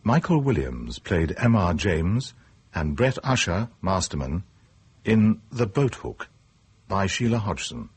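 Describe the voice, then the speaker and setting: Male radio announcer for closing credits. Low, unhurried, formal, deliberate. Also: sing-song, breathy edge. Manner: formal, deliberate list reading. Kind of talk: reading radio drama cast credits.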